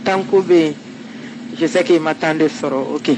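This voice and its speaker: A man leaving a friendly, unhurried voice message. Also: fairly high pitch, noisy background.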